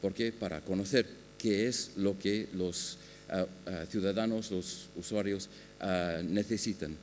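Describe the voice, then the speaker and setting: A man giving a conference talk on a panel, speaking haltingly with many filler sounds and restarts. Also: low voice, darkish tone. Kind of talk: conference presentation. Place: large conference hall. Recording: long hall reverb with faint hum.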